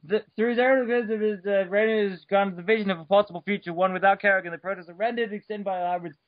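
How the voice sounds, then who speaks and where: A man's voice: high voice, fairly even intonation, fast talker, no room sound, lo-fi sound. A man giving a mock-dramatic reading of an article, theatrical and stumbling over names with blah blah. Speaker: reader.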